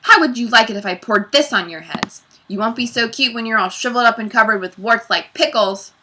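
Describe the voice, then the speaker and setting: A woman's voice: a little high, a little fast, sharp tone, slight background noise, voice pushed up. A woman voicing a mean, short-tempered woman schoolteacher in a storybook, bossy, mocking and snapping. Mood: menacing, mocking.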